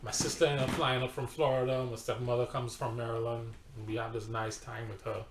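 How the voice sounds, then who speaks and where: A man's voice: medium pitch, flat delivery, a little fast, background noise. Trinidadian man telling his story. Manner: storytelling, emphatic, repeats words. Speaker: pastor. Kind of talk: personal testimony in conversation. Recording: roomy echo.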